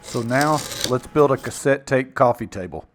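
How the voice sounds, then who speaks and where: A man's voice: medium pitch, lively intonation, moderate pace, over music. A man casually narrating his own build project in a plainspoken, folksy, self-deprecating way. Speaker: woodworking video maker and narrator.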